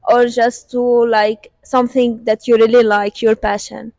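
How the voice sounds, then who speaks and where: A woman's voice: fairly high pitch, flat delivery, measured pace, a little mellow, no echo. A woman from Lebanon, a guest in a casual discussion, speaking in short, simple phrases.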